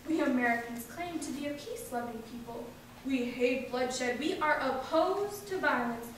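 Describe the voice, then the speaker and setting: A young woman giving a deliberate, declamatory reading of a political speech. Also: high voice. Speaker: reader of historical speeches. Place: large indoor hall at a live event. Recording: very echoey hall, distant mic, faint noise.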